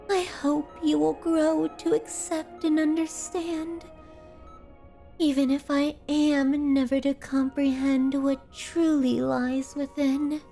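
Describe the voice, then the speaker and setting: Very high-voiced, obsessive yandere captor. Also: female voice, level tone, vocal fry, dark tone, exaggerated voice. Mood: possessive, tender. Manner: slow, ornate, tender, possessive delivery. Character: obsessive yandere woman captor, doting, sinister, genteel. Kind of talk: scripted ASMR roleplay, theatrical monologue. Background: background music.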